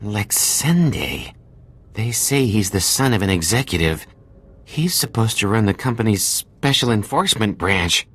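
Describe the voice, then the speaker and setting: A man's voice: on the low side, big pitch swings, a little slow, a bit bright, a little hiss or hum. A man playing an uneasy, cautious accountant sidekick in a video game, sounding worried and hesitant. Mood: wary. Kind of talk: video game dialogue acting.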